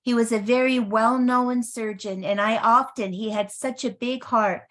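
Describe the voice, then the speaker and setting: Woman early 60s pitching health products, kinda high voice, talks slow n emphatic, repeats stuff for effect. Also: fairly even intonation, fairly bright tone. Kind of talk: motivational product presentation to an audience.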